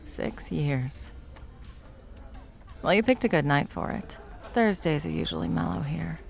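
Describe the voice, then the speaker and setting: Casting: actress as confident, teasing female bartender for ASMR roleplay. Very deep, creaky voice, intimate delivery. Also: wildly swooping pitch, soft background music, full character voice. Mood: confident, flirty. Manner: teasing, unhurried, soft chuckles, dropping toward whispers. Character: confident, flirtatious, protective hot female bartender. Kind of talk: ASMR roleplay, intimate one-sided conversation.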